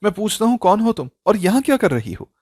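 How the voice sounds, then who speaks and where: A man voicing a shocked, angry young bridegroom in a dramatic story, shouting accusations in disbelief. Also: high-pitched, very animated, fast, no room sound, studio quality, voice pushed up. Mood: alarmed, angry.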